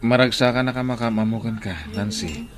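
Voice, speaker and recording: A man's voice: medium pitch, fairly quick, close to an everyday voice. An actor playing a polite young man who yearns for his family, in an Ilocano radio drama. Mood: puzzled.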